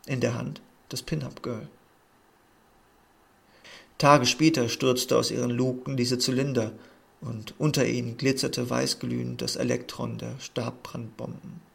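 A man reading a literary text aloud in a measured, earnest way. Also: medium pitch, level tone, vocal fry, a bit bright, husky. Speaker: narrator.

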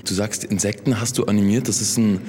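A man's voice: fairly low pitch, fairly even intonation, fast talker, a little mellow. A man interviewing an artist, asking rambling, loosely phrased questions in an exhibition room. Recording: reverberant room with faint noise.

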